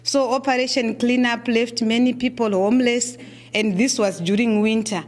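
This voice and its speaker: A middle-aged woman with a Zimbabwean accent giving a conference keynote lecture, speaking in a measured way with frequent fillers and repeated words. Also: fairly high pitch, fairly even intonation, a little mellow.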